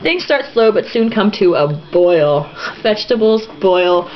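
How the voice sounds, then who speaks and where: A woman's voice: medium pitch, expressive, measured pace. A woman reading a novel aloud in an irreverent, sarcastic, giggly way, stumbling over sentences and dropping into casual asides. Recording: dull lo-fi home recording, some noise.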